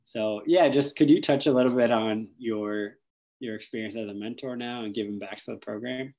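Woman, low-pitched for a female voice, interviewer, conversational, encouraging. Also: moderate pace, a little mellow, poor audio. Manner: encouraging, appreciative. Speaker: interviewer, program host.

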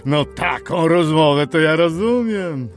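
Actor as an affable, slow-talking, higher-voiced pharmacist landlord. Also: male voice, expressive, faint music behind. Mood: satisfied, bargaining. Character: affable, money-minded small-town pharmacist and landlord, father. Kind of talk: radio drama acting.

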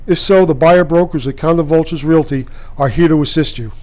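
Higher-pitched male ad announcer. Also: brisk, a little mellow. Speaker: advertisement announcer. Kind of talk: scripted commercial read.

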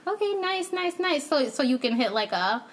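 High-voiced chatty woman hosting a podcast. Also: very animated, moderate pace, slight background noise. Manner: chatty, laughs often, many fillers. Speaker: podcast host. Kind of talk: casual interview chat.

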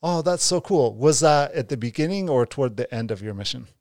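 A man's voice: medium pitch, animated, fairly quick, a little mellow, no room sound. Male co-host in his forties, eager and stumbling, dry close sound. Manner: enthusiastic, stumbling restarts. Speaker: podcast co-host. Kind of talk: casual interview.

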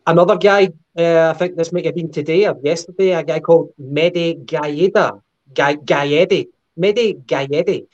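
Brief: male podcast co-host, fairly high-pitched, measured and full of fillers, muffled lo-fi mic. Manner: measured, with many filler ums and uhs. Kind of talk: casual football chat.

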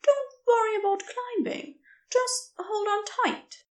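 A woman's voice: extremely high pitch, steady tone, slow pace, reverberant, voice pushed up. A woman voicing a kindly, polite, slightly hesitant man who is eager to help, in a storybook reading. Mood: gentle, reassuring. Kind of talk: audiobook character voice in fantasy romance.

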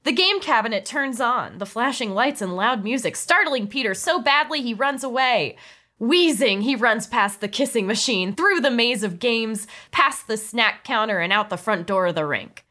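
Bright-voiced woman narrating a comedy script. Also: on the high side, expressive, moderate pace. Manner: playful, breaking into amused asides. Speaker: narrator of a script table read.

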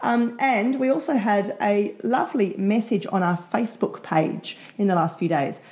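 A woman co-hosting a talk show while half losing her voice, chatty and quick to laugh. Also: medium pitch, a little mellow. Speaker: podcast co-host. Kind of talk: casual chat.

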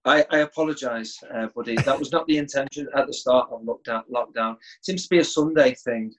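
A man's voice: medium pitch, brisk, rough recording. Middle-aged guy, laid back, chatty, on a video call from home. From England. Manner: relaxed, easygoing with chuckles and restarts. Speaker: guest. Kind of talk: casual interview chat.

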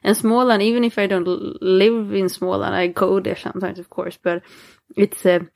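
A woman's voice: medium pitch, little pitch movement, normal speed, vocal fry, a little mellow. A woman from Sweden with a Swedish accent in casual conversation, speaking hesitantly with many restarts and fillers.

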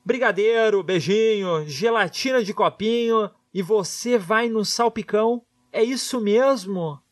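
A man's voice: high voice, a little slow. A man with a Brazilian accent delivering a mocking, theatrical comic monologue. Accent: Brazilian Portuguese.

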